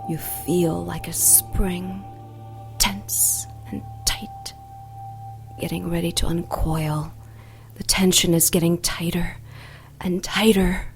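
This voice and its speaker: An actress playing a commanding mystical mistress guiding a trance in an audio drama, incantatory and sometimes hushed. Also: female voice, fairly low pitch, level tone. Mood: hypnotic. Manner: slow, incantatory, hushed at times. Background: music.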